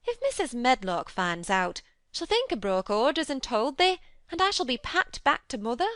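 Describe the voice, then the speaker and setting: A woman voicing a flustered young Yorkshire maid, kindly and fearful, speaking broad dialect in a high, anxious voice. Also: very animated, moderate pace, a bit bright, no room sound, studio quality.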